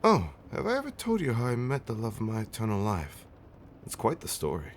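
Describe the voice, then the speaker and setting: A man playing a centuries-old vampire husband in an intimate comfort roleplay, tender and theatrically fussy, with genteel, old-fashioned wording and dramatic pauses. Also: on the low side, huge pitch swings, slight background noise, voice pushed up. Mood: playful affection. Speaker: audio roleplay performer.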